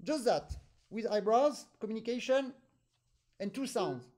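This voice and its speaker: A man with a French accent, a native French speaker, teaching an accordion master class over a video call, animated and pausing deliberately between phrases. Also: high-pitched. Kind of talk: online master class instruction.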